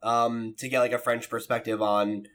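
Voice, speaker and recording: A man's voice: medium pitch, level tone, moderate pace, fairly bright tone. A man hosting a podcast, reasoning aloud in casual, informal discussion. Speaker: podcast host.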